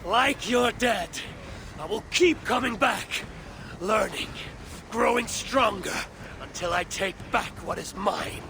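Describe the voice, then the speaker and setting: Gravelly, defiant old god-king. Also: high voice, expressive, slow, background noise, exaggerated voice. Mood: challenging, resolute. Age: older. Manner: grand, forceful, theatrical declaiming. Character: proud, defiant old Norse god-king, stubborn grieving father. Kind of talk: dramatic game cutscene acting.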